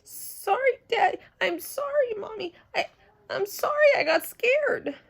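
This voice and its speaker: Storybook little girl, performed by a woman: very high, dramatic, excitable, chatty and blurting. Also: unhurried, vocal fry, full character voice. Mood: apologetic, upset. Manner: breathless repetition, blurting, childish phrasing. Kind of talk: children's storybook character voice.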